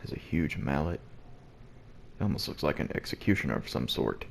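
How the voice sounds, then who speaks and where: A man with an extremely deep, gravelly voice speaks softly and low, holding back so as not to wake the house. He talks through gameplay in a relaxed, hushed way, with clear hiss and noise underneath. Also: brisk. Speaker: let's play host. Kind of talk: gameplay commentary. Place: at home early in the morning. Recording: noisy background hiss.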